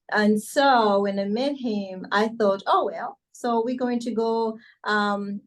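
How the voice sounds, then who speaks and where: A middle-aged woman with a Ugandan accent gives an informal testimonial at an online seminar. Her voice sits at an average female pitch, and she talks in a chatty way, addressing her listeners directly. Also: low-quality recording. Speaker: speaker introducing a coach at an online financial seminar. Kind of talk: informal testimonial and welcome speech.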